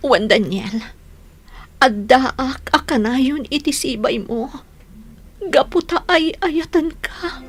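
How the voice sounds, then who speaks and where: High-voiced, tearful young sweetheart. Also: female voice, slow pace, pauses often, fairly bright tone, exaggerated voice. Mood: tender, devoted. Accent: Ilocano. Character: devoted, tearful young sweetheart pleading with her beloved. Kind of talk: Filipino radio drama acting.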